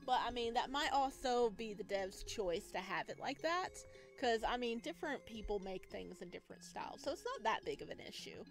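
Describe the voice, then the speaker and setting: Female gaming creator, higher-pitched, casual and excitable, reacting and reading aloud over light music. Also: normal speed, few pauses. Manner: expressive, excitable. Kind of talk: casual let's play commentary and read-aloud.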